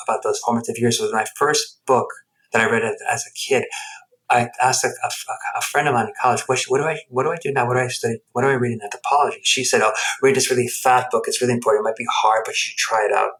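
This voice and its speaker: A man's voice: medium pitch, fairly even intonation, fast talker, a bit bright, poor audio. A man who grew up speaking Spanish and English, telling his story as a podcast guest in a reflective, rambling way with many restarts. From United States. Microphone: headset earphones.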